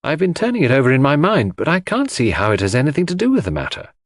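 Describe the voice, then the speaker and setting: A man voicing an earnest, polite gentleman sidekick to a detective in an audiobook. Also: medium pitch, huge pitch swings, quick pace, no echo, clean studio sound, voice pushed up. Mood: curious, earnest. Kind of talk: audiobook character acting.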